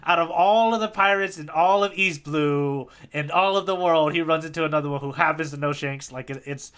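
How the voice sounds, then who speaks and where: Bright, higher-pitched man chatting casually. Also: brisk, smooth. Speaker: podcast co-host. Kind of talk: casual chat recapping a story.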